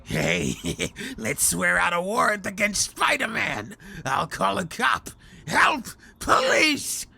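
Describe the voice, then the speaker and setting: The sly sidekick crook in a cartoon alley, snickering and whining in a high voice that leaps about. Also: male voice, huge pitch swings, slow pace, vocal fry, bright, forceful tone, husky, exaggerated voice. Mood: mock outrage. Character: sly, whiny male petty crook playing victim.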